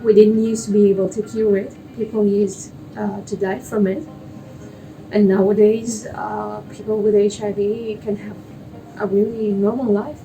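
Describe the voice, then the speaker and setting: Mellow young Russian woman, slightly distant. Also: medium pitch, flat delivery, unhurried, faint music behind. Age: young adult. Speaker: guest, biomedical researcher. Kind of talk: explanatory interview answers for a lay audience.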